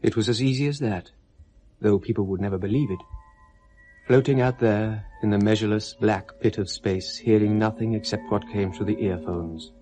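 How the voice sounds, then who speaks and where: Low-voiced guy narrating an old radio play, slow and solemn. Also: a little mellow. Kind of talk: scripted dramatic narration.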